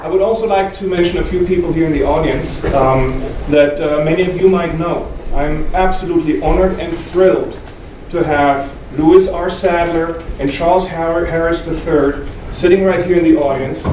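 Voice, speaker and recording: A man's voice: a little high, fairly even intonation, moderate pace, hardly any pauses, a little mellow. German-accented man speaking in an echoey room. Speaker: speaker, historian and author. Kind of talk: public talk to a live audience. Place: indoor museum event room with audience. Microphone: not speaking into a microphone, picked up from distance. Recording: roomy, noisy hall, off microphone, distant.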